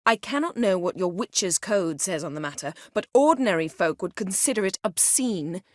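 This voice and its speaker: A woman voicing a proud, sharp-tongued sorceress in a video game, speaking crisp, formal with an imperious, sardonic manner. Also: medium pitch, lively intonation, moderate pace, no echo, studio quality, natural voice. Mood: cool, commanding. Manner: crisp, formal, haughty and biting. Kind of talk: video game character dialogue.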